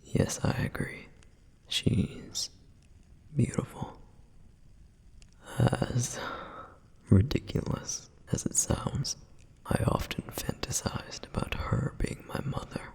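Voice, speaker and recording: A man voicing a dutiful, protective male flame demon in an ASMR roleplay, with a soft whispered and wistful, reflective delivery. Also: takes it slowly, pauses often, natural voice.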